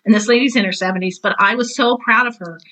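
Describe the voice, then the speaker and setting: A woman's voice: on the high side, quick pace, pushed and bright, rough recording. A woman in her sixties chatting casually on a podcast, animatedly telling stories and acting out quoted remarks. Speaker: retired teacher and author talking on a podcast. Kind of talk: casual conversational podcast chat.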